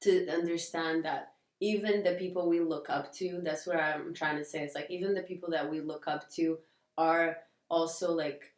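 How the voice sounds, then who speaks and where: A woman's voice: low-pitched, fairly even intonation, normal speed. A woman from Portugal, co-hosting a podcast in casual reflective chat, earnest and often searching for words. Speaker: podcast co-host. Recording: echoey room, distant mic.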